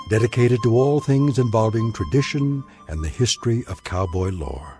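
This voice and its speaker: An actor as the wry, formal narrator of an anthology radio drama, speaking scripted narration with an American accent. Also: male voice, on the low side, a little mellow, close to an everyday voice.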